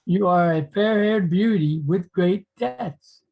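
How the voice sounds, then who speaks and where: A man playing a devoted, admiring gentleman poet in an online play reading, gentle and formal. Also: high voice, takes it slowly, vocal fry, exaggerated voice. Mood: tender, admiring.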